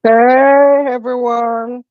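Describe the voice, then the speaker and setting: Woman, muffled lo-fi call, unhurried pace, casual panel chat, dry sound. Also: fairly high pitch, little pitch movement, dry recording, faint music behind. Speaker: remote guest on an online panel. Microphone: Discord voice call. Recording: dull lo-fi voice chat line.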